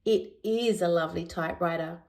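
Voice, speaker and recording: A woman narrating a children's picture book as an educator, in a deliberate, expressive storytelling manner. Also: on the low side. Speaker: educator reading picture books aloud.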